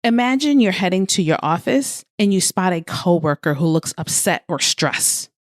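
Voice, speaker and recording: A woman hosting a scripted motivational podcast as a coach, speaking deliberately phrase by phrase straight to the listener. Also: on the low side, expressive, no echo, clean studio sound. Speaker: podcast host and life coach.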